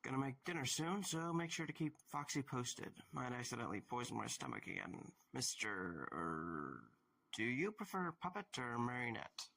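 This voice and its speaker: A man playing a tired, wry, kindly investigator in an audio drama, with a gravelly voice and a casual, dry manner. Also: mid-range voice, big pitch swings, normal speed, pushed and bright, slight background noise, full character voice. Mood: casual, tentative.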